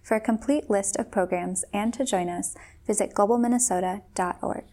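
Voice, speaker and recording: A woman reads a scripted podcast introduction in an even, formal way. Her voice is warm and mellow, set at a middle pitch. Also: moderate pace. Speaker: podcast announcer. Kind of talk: scripted announcement.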